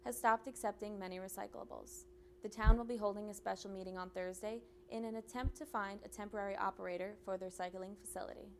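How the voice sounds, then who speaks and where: A woman's voice: medium pitch, a bit bright, a little hiss or hum. A young adult woman working as a student news anchor, delivering scripted campus news.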